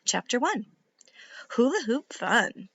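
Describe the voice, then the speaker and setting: A woman reading a children's book aloud like a teacher, in an expressive, deliberate and instructive way. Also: on the high side, gravelly, no echo, lo-fi sound. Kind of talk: animated read-aloud narration for young children.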